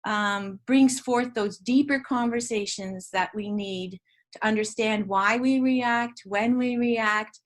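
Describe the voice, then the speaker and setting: A woman's voice: a little high, slow. An older woman, a Dutch native speaker from Canada, talks about her teaching work in an interview in an earnest, reflective way, often restarting her sentences. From Ontario, Canada. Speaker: guest educator.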